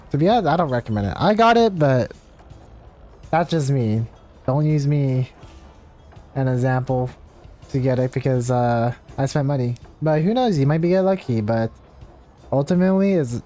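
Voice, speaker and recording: Mellow-voiced man live-streaming a game. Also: medium pitch. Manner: offhand, reads dialogue aloud between asides to chat. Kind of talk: casual live gameplay commentary, reading out quest dialogue. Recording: game music behind. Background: game music.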